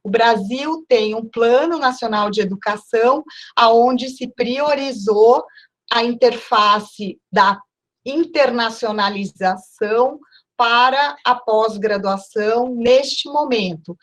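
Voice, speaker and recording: A woman professor from Porto Alegre giving a measured, formal closing talk at an online university event. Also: on the high side, a bit bright. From Porto Alegre, Brazil. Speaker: guest professor.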